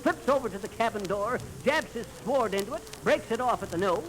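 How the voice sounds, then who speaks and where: A man's voice: high voice, animated, a little fast. An American man playing a boastful, folksy teller of tall fishing tales in 1930s radio comedy style, with theatrical, colloquial delivery. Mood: mock heroic. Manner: bragging, theatrical storytelling. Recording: noisy vintage 1930s radio recording.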